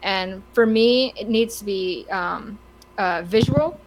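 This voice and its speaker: Woman on a podcast talking casual and opinionated, stumbles and restarts a lot, normal mid pitch. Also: low-quality recording. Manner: halting, stammers and restarts often. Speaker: podcast co-host.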